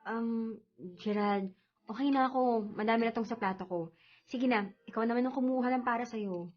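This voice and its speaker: An actress playing a shy, apologetic young girlfriend who speaks softly and hesitantly in a radio drama. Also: on the high side, moderate pace, lightly acted. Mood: uneasy, apologetic. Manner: hesitant, soft-spoken. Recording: dull, band-limited radio drama audio.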